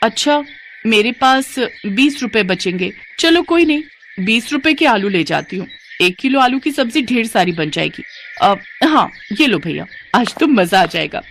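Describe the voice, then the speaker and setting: A woman's voice: a little high, very animated, unhurried, fairly bright tone, slight background noise, full character voice. A woman voicing a carefree, defiant, food-obsessed young daughter-in-law in a cartoon moral story, with a whiny, self-indulgent manner. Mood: eager.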